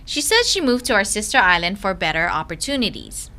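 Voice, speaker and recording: A woman's voice: mid-range voice, very animated, fairly quick, background noise. A woman narrating a news feature as a reporter, in an upbeat, friendly scripted delivery.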